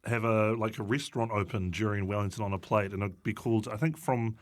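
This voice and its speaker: A man's voice: lowish voice, steady tone, fast talker. A man with a New Zealand accent chatting casually as a comedian guest, telling anecdotes in a hesitant, wry way. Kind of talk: casual chat, comic anecdotes.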